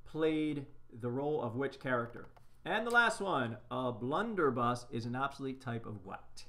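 A man's voice: mid-range voice, animated, moderate pace, bright, forceful tone. A middle-aged man co-hosting a casual live stream, telling corny jokes and reading trivia questions aloud, recorded on a desk webcam indoors. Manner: corny joke delivery, reads trivia aloud. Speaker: co-host. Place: small shop room with framed wall display, indoors.

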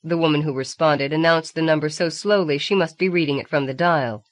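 A woman's voice: sits low, fairly quick. A woman reading a novel aloud as scripted audiobook narration.

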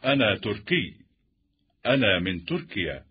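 A man's voice: fairly low pitch, sing-song, normal speed, slightly rough. A man reading the lines of a scripted textbook dialogue. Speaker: textbook audio speaker. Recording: dull, muffled lo-fi audio.

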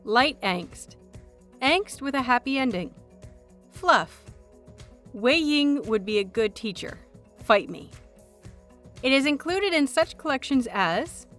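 A woman hosting and narrating audio fiction in an expressive audiobook style. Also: a little high. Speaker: host and narrator of an audio fanfiction show.

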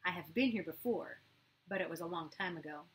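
A woman reading a calm, kindly grown man. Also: fairly low pitch, fairly quick, slight background noise, natural voice, low-quality recording. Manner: calm, kind and affectionate. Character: gentle, courteous grown man, scarred and blind.

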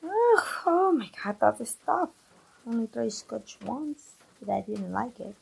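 Woman acting a husky, breathy best friend. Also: a little high, big pitch swings, a little slow, darkish tone, exaggerated voice, rough recording. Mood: teasing, friendly. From Mexico. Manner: playful, familiar, spoken straight to the listener. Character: chatty, teasing young woman reunited with her best friend. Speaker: voice actor. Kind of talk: ASMR roleplay acting.

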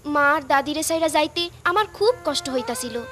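A gentle young cartoon village girl, with a rural Bangladeshi accent. She tells her story earnestly in a very high, lively voice over loud music. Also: moderate pace. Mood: storytelling.

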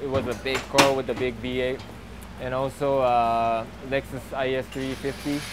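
A man in his thirties, a professional drift driver, giving a relaxed and halting outdoor interview on a lapel mic at a racetrack paddock. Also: medium pitch. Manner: relaxed, halting, many fillers. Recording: noisy outdoor racetrack recording.

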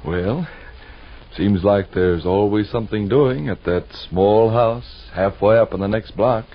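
A man's voice: lowish voice, unhurried. An American man announcing a radio show in 1940s style. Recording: dull 1940s radio recording.

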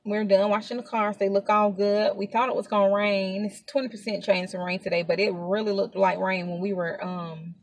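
A woman's voice: mid-range voice, little pitch movement, moderate pace, hardly any pauses, no echo. A woman in her thirties chatting casually to camera from inside a parked car, rambling with asides and filler words. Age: 30s. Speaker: vlogger.

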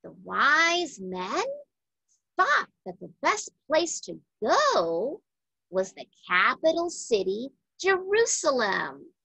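A woman reading a story aloud to children with deliberate, dramatic phrasing. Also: high-pitched, wildly swooping pitch, creaky, bright, forceful tone, husky, no echo, low-quality recording. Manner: deliberate, dramatic phrasing with questions to young listeners. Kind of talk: expressive scripted read-aloud for children.

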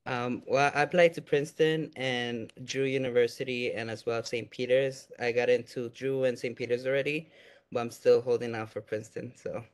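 A young man speaks in a flat, level voice, pausing often with ums and uhs. He gives short thanks over a video call through a webcam mic. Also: mid-range voice, smooth. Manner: hesitant, many fillers. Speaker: student award recipient. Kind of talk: brief thank-you remarks. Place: indoor room at home, on a video call.

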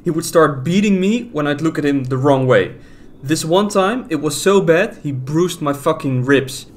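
A man's voice: fairly high pitch, lively intonation, moderate pace, lightly acted. An actor playing a wounded, defensive young man, a runaway boyfriend in an audio drama. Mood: bitter, defensive. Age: young adult. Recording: faint noise with ambience behind.

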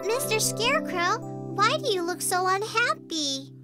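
A sweet little cartoon farm girl with a very high voice. She speaks slowly, earnest and kind, over loud music. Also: sing-song, heavily acted. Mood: kind, curious. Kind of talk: children's cartoon acting. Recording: music behind the voice.

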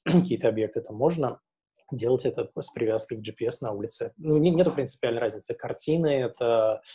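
A man speaking informally on a video call, explaining in a tentative, halting way as an advisor. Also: fairly low pitch, mellow, breathy edge. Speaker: webinar co-presenter, project advisor.

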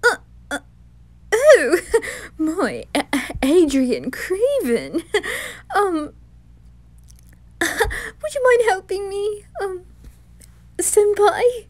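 A woman's voice: extremely high pitch, big pitch swings, very slow, long pauses, pitched above the performer's own voice, full character voice. A woman voicing a flamboyant, gushing male grim reaper with a flirty, sing-song delivery in an ASMR roleplay. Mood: flustered, smitten.

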